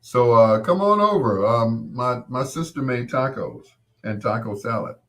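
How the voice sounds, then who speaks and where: A man's voice: medium pitch, animated, unhurried, fairly bright tone. An American man in his sixties hosting a casual live chat, reminiscing in a rambling, conversational way and repeating points for emphasis. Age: 60s. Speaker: host.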